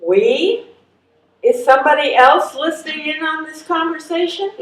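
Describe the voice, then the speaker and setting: High-voiced exasperated elderly woman, acted, in echoey room. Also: normal speed, lightly acted. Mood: indignant. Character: hungry, exasperated 73-year-old woman caller, wry and stubborn. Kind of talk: live readers theatre reading. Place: live stage reading in a room. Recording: echoey room, distant microphone.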